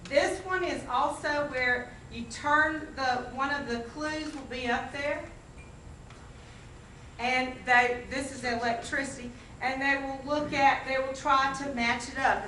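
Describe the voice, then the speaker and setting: A woman's voice: high-pitched, normal speed, bright, forceful tone. A woman teacher presenting classroom games to colleagues at a training, talking chattily and restarting her sentences. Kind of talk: classroom demonstration talk. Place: school meeting room. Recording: roomy, distant mic, faint noise.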